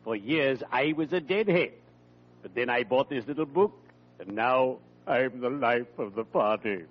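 A man portrays a genteel undertaker in a vintage radio comedy, theatrical and drily macabre. His medium-pitched male voice delivers ornate phrases with ghoulish good cheer. The recording is old and muffled, with a faint hum. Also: animated, measured pace, close to an everyday voice. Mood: drily amused. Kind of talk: old-time radio situation comedy acting.

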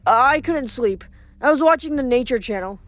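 A woman's voice: high voice, lively intonation, moderate pace. A woman voicing a glum, earnest young male friend in a storybook.

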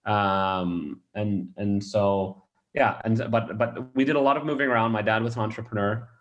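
A man's voice: on the low side, steady tone, normal speed, dull audio. A man from Australia, a startup founder, answering interview questions in a rambling way with many restarts and fillers.

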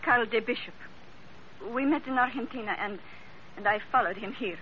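A woman's voice: a little high, normal speed, lightly acted. A woman playing a scheming foreign femme fatale with a Spanish accent, speaking in careful, formal phrases in an old-time radio drama. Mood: mournful, pleading. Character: sultry, scheming foreign woman, outwardly grieving and frightened. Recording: muffled, noisy old radio recording.

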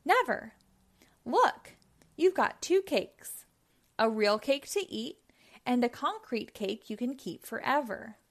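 A woman's voice: a little high, lively intonation, slow, full character voice. A woman voicing a cheerful, teasing, caring female coworker in a children's storybook with emphatic delivery. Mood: teasing, excited.

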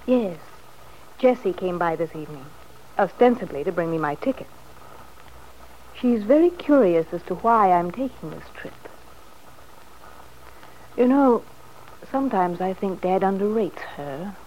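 An actress playing a bitter, anxious young wife in a vintage radio soap, with a brittle, ironic, weary manner. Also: female voice, medium pitch, lively intonation, a little slow, long pauses, darkish tone, dull audio, lightly acted. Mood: sardonic, brittle. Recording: faintly noisy old radio recording.

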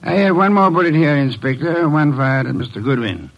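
An actor playing an imperious detective who speaks formally and precisely, in old-time radio mystery style. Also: male voice, on the high side, animated, fairly quick. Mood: stern, deliberate. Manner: commanding, formal, precise diction. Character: imperious, deliberate male detective, a mastermind unmasking a killer. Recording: muffled, dull old radio recording.

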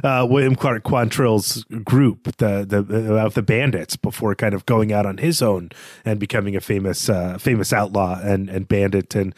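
A man's voice: on the low side, fairly even intonation, moderate pace, a little mellow, no room sound, studio quality. A man co-hosting a podcast, talking through a literary analysis in a thoughtful, hesitant, conversational way. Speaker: podcast co-host.

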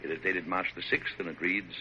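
An actor playing a dignified, kindly but firm older American President, speaking formally and with measure in a classic radio drama. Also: male voice, low-pitched, steady tone, bright, forceful tone. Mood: stern, flustered. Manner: formal, measured, hesitant at times. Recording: noisy, dull vintage radio recording.

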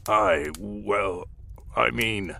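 A man's voice: on the low side, extremely slow, gravelly, bright, forceful tone, full character voice. A man playing a timid, bumbling young werewolf minion, hesitant and stammering, in a fantasy comedy audio drama. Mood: flustered.

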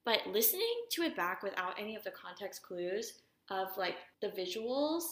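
A young woman with a raspy voice co-hosting a podcast, talking frankly and relaxed in long run-on thoughts. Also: mid-range voice, moderate pace. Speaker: podcast co-host. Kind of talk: casual chat. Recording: echoey room.

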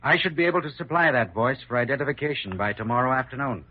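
A man's voice: medium pitch, fast talker, soft background music, lightly acted. A man playing a decisive, businesslike insurance investigator in an old-time radio drama. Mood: conceding, decisive. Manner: plain, decisive. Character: brisk, businesslike insurance investigator, fair-minded. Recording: muffled old radio recording.

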